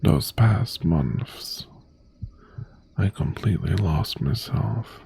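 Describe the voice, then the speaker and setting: A man playing an obsessive, possessive mafia boss ex-boyfriend in an intimate ASMR roleplay, tender yet menacing, with a hushed, husky, breathy voice that often drops to a whisper. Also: lively intonation, slow pace, heavily acted. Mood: longing, pleading. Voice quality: breathy, husky, gravelly low voice.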